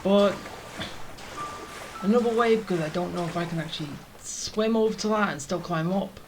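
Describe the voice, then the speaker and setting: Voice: mid-range voice, moderate pace, noisy background. A gamer giving casual, rambling gameplay commentary, thinking aloud. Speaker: gaming streamer.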